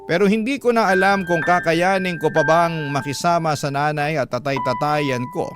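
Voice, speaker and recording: Male actor as a long-suffering young man, mid pitch, earnest dramatic narration, prominent music behind. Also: natural voice. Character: long-suffering young man telling his life story. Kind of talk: dramatic scripted first-person narration.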